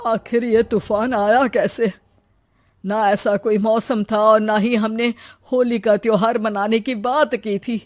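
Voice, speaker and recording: A woman's voice: a little high, fairly even intonation, a little slow, faint music behind, natural voice. A woman voicing a cautious older village headman with a hesitant, admonishing manner in a cartoon story. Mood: worried.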